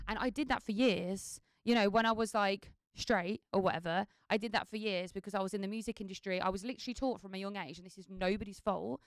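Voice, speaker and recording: A woman's voice: mid-range voice, fast talker, few pauses, dry recording. A woman, a wedding singer chatting on a podcast, talking chaotically with lots of fillers. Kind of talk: casual chat.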